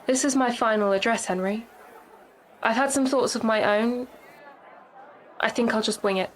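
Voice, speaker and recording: A woman's voice: fairly high pitch, moderate pace, some chatter behind, slight background noise, lightly acted, low-quality recording. Woman playing a guarded, terse election candidate. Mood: resigned, dry. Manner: terse, dismissive, dry. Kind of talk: radio play acting.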